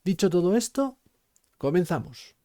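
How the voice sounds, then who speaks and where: A man with a Spain Spanish accent hosting a tabletop game review, speaking in a measured, formal way with "eh" pauses. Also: high-pitched, sing-song, mellow. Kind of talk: product review talk to camera.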